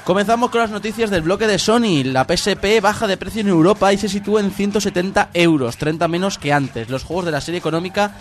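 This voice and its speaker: A man's voice: high voice, fast talker, few pauses, faint music behind. A man co-hosting a video game radio show, reading news items in a dry, matter-of-fact way. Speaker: radio co-host. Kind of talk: reading news, casual banter.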